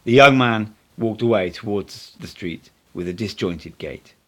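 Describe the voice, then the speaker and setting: Male amateur audiobook reader, somewhat low pitch, scripted literary narration, first-person storytelling. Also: measured pace, a bit bright.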